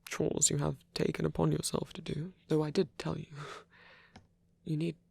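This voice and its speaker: A man performing a soft ASMR roleplay as a lonely, gentle old vampire priest, speaking haltingly and tenderly in formal words, breathy and often near a whisper. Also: fairly high pitch, completely flat delivery, no room sound, heavily acted. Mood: gentle, reassuring.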